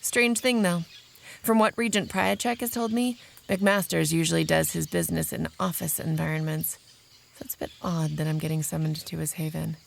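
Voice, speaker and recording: A woman's voice: sits low, fairly even intonation, moderate pace, gravelly, slight background noise. A woman playing a sardonic vampire researcher in an audio drama, narrating in a wry, profane, conversational way. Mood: wry, suspicious. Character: Aurora, sardonic young female vampire researcher narrating her exploits. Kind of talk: first-person audio drama narration and dialogue.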